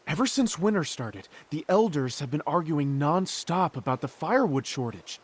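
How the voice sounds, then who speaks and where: A man's voice: on the high side, animated, normal speed, breathy edge. A man playing a helpful, modest craftsman friend in a video game, earnest and a little hesitant as he explains things. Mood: eager, explaining. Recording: loud background music.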